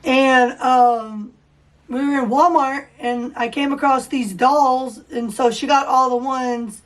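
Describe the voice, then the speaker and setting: A woman with a hoarse, high voice hosts a casual podcast. She talks slowly and rambles with fillers and restarts. Her voice is picked up from a few feet back from the microphone. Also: fairly even intonation. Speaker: podcast host. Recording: voice distant from the mic.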